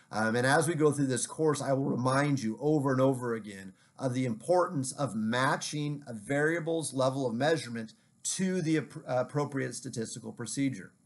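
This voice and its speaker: A man giving a recorded statistics lecture over slides, talking in a conversational way with frequent "right?" check-ins and some "um" fillers. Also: mid-range voice.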